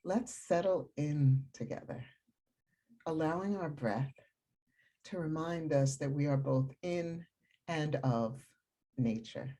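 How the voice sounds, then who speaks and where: Woman doing guided meditation, really dark mellow voice, kinda breathy, slow and calm with long pauses. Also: deep voice.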